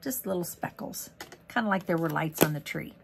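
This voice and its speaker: A hoarse woman talks casually through a stamping tutorial at her desk, rambling with asides as she works. Her voice sits a bit low and has a gravelly edge. A faint hiss runs under the recording. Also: expressive, fairly quick. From Missouri, USA. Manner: chatty, rambling, lots of ums and asides. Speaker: craft demonstrator. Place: craft desk indoors.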